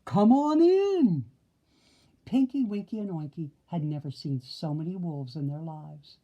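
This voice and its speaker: A woman reading a children's story aloud in an expressive narrating style. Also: low voice, moderate pace, muffled recording. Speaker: storybook reader.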